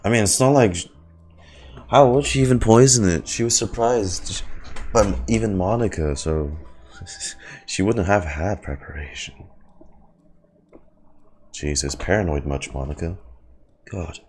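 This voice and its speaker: A man's voice: deep voice, measured pace, pauses often, darkish tone, a little hiss or hum. A man giving casual, sweary gameplay commentary in short muttered reactions, as a streamer. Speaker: streamer reacting to a video game. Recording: game music behind the voice. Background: video game music.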